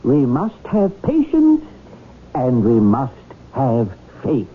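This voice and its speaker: An actor playing a solemn, placating attorney with a husky voice and measured manner in a 1970s radio drama. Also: male voice, mid-range voice, wildly swooping pitch, full character voice. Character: solemn, slow male attorney. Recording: tinny 1970s radio broadcast.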